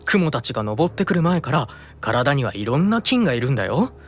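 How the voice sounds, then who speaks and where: An actor playing a gentle, unflappable, curious young man in an anime-style audio drama, with a mild musing manner. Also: medium pitch, big pitch swings, brisk, soft background music, natural voice. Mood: calm, matter-of-fact.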